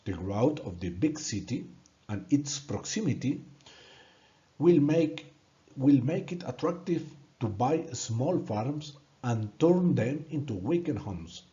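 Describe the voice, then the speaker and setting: A man from Colombia with a Colombian Spanish accent, a native Spanish speaker giving an earnest, halting presentation in English and repeating words when stuck. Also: medium pitch, big pitch swings, warm tone, lo-fi sound. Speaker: student presenting a course project. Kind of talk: prepared presentation narration.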